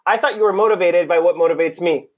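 A man's voice: sits high, fast talker, close to an everyday voice. An actor in a mystery audio play, playing an impatient, blunt undercover policeman who poses as a buyer. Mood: businesslike. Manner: blunt, exasperated, demanding. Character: impatient, foul-mouthed male undercover cop posing as buyer.

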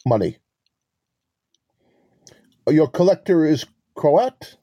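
An actor in a mystery audio play, voicing a wily relic con artist who talks in a measured, formal, condescending way. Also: male voice, fairly high pitch, animated, warm tone, no echo, highs cut off, heavily acted. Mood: cold, threatening.

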